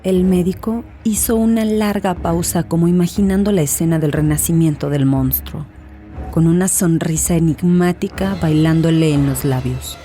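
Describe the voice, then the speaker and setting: Female narrator for dramatized fiction, lowish dark tone, faint music underneath. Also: moderate pace. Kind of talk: literary audio drama narration.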